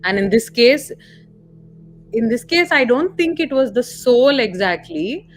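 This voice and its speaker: A woman's voice: a little high, lively intonation, unhurried, soft background music. A woman talking casually as a guest in a live discussion. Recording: clear background hum.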